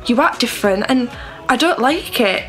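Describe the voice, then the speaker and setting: A woman's voice: a little high, animated, normal speed, fairly bright tone, faint music behind, rough recording. A young woman acting in a radio drama, playing a concerned, protective best friend, earnest and probing. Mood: concerned, insistent. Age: young adult.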